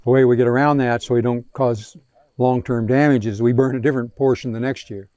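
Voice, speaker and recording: A man's voice: mid-range voice, steady tone, brisk, mellow, no echo, muffled recording. Hesitant man answering questions outdoors. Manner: hesitant, many restarts and fillers. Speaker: range scientist answering questions. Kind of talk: outdoor field day Q&A. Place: open prairie pasture outdoors. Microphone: handheld microphone.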